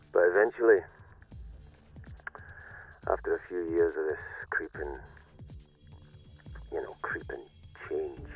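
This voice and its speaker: A man playing a weary, cynical security corporal in a video game, recording a halting, rueful audio diary as a survivor of a flooded world. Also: rumbling low, slightly rough, narrow, tinny audio, full character voice. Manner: halting, rueful, confiding, with pauses and self-corrections. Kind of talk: in-character audio diary monologue, dramatic game acting.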